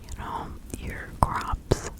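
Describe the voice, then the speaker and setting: Slow whispering woman as a kindly village witch. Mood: gentle, reassuring. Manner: slow, hushed, soothing, incantatory. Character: kindly female village witch and spiritual healer, reassuring, protective. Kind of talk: ASMR roleplay, whispered.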